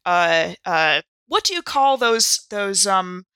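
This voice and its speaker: A woman with a bright, forward voice of medium pitch plays a tabletop roleplaying game. She chats casually and slips into character, recorded close and dry. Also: animated, takes it slowly, smooth, no echo.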